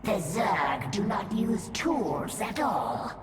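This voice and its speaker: A savage, proud alien broodmother, menacing and formal. Her slow, swooping speech echoes heavily in a dramatic game. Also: mid-range voice, very animated. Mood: savage, scornful. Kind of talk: dramatic video game acting. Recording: echoing, distant, under loud game music.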